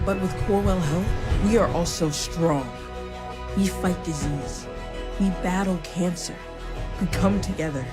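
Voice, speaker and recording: An advertising narrator reading a solemn script in short, measured sentences. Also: medium pitch. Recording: loud music bed. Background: loud music.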